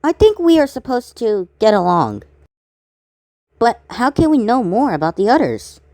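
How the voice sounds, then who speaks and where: A woman's voice: a little high, very animated, moderate pace, darkish tone, no room sound. A woman voicing an earnest, flustered oddball male cartoon character with a defensive manner.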